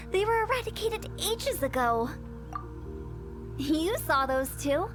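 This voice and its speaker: A woman's voice: very high-pitched, animated, slow, heavily acted. A woman voicing a playful young female funeral parlor director in a video game, breezy and teasing as she explains things. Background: game music.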